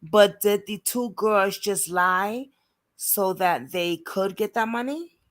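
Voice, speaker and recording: A woman's voice: medium pitch, slow pace. A woman hosting an informal online discussion, talking casually as she thinks things through. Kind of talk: casual chat.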